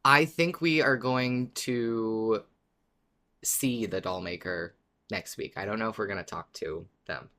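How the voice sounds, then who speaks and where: A man's voice: fairly low pitch, unhurried, sharp tone. A man co-hosting a podcast in casual fan discussion, playful and often repeating words for emphasis. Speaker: podcast co-host.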